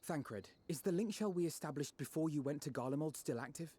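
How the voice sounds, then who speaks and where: A man playing an earnest, well-spoken young strategist in a video game, eloquent and deliberate. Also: on the high side, animated, warm tone, breathy edge. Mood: resolute. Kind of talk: video game cutscene acting.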